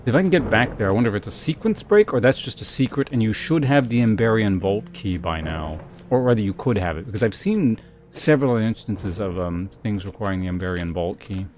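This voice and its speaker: A man's voice: fairly low pitch, expressive, a little fast, hardly any pauses, a little mellow, slight background noise. A man casually commentating on a video game live, thinking aloud in a hesitant way as he chats with viewers.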